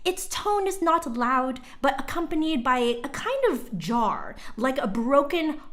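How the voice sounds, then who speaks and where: A woman's voice: sits high, animated, a little slow, a bit bright. A woman livestream host reading an old text aloud dramatically, breaking off for informal, chatty asides to viewers.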